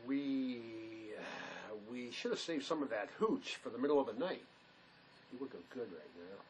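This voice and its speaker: Actor as a gentle drifter, very animated pitch. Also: male voice, normal speed, close to an everyday voice, poor audio. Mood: consoling. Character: awkward, sympathetic male drifter, consoling friend. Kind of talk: stage-style dramatic play acting.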